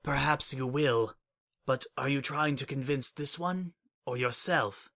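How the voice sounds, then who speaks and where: A man voicing a calm, inscrutable changeling assassin in an audiobook-style reading, speaking in a level, formal, measured way. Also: mid-range voice, creaky. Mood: probing.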